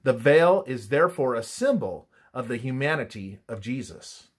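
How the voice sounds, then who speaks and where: A man reading a scripted religious study in a measured, instructive manner, his phrases often trailing off softly at the ends. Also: medium pitch, animated, breathy edge.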